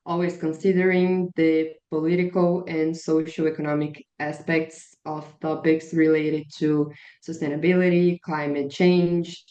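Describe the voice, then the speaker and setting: A woman in her twenties with a Brazilian Portuguese accent speaks English slowly and earnestly, in a low voice with a slight strain. Her words sound measured and prepared. The audio is roomy and a little dull. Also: a little mellow. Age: 20s. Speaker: guest, biologist and climate activist. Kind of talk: podcast interview answers. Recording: echoey room, dull lo-fi sound.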